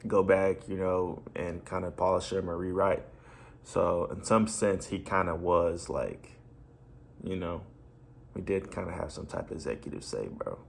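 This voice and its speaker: A man's voice: deep voice, a little slow, vocal fry, slight background noise. A man hosting a reaction video, chatting casually and slangily, full of "you know" and "bro".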